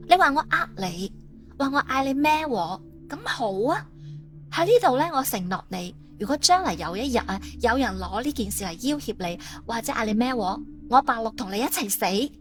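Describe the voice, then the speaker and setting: An actress plays a stern, determined female agent. Her voice sits fairly high, delivering audiobook dialogue over soft music. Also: animated, normal speed. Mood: grim, reproachful.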